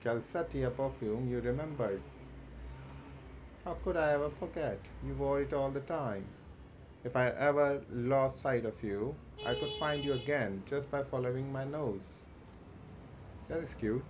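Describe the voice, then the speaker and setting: A man livestreaming a game, reading its dialogue aloud haltingly, with stumbles and self-corrections. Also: mid-range voice, darkish tone. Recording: clearly noisy background.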